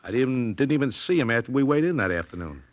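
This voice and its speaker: An actor playing a blunt, defensive veteran prizefighter in an old radio drama, gruff and emphatic, with American slang. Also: male voice, mid-range voice, animated, quick pace, dry recording, natural voice. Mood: gruff explaining. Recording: dull vintage radio recording.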